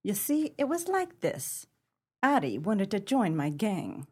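A woman playing a tough, proud 1930s gang leader in a pulp crime radio play, with brassy, slangy gangster talk and a husky voice. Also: mid-range voice, huge pitch swings, slow pace, mellow, exaggerated voice. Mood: wry, confiding.

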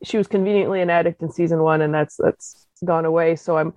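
A woman's voice: sits low, fairly even intonation, quick pace, darkish tone, no room sound. A woman calling in to a fan talk show, chatting casually and thinking aloud over a dull, lo-fi line.

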